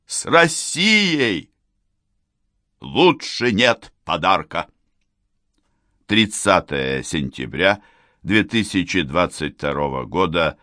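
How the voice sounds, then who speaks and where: A man reciting verse in a solemn, declamatory way, with sweeping rises and falls in pitch and loudness. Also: sing-song, slow, pauses often, no room sound, clean studio sound. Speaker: poetry reader.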